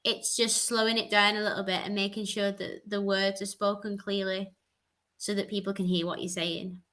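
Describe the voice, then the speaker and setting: A woman's voice: medium pitch, flat delivery, normal speed, a bit bright. A woman who is a native English speaker, tutoring on a video call, encouraging and chatty, with lots of "like" and "just". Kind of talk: giving feedback on a video call.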